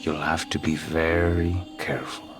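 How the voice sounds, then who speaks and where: An actor playing a grave, courteous and mysterious man in a video game, speaking in a measured, formal, ominous way. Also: very deep voice, completely flat delivery. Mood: courteous, cautioning. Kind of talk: video game cutscene acting. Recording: background music behind the voice.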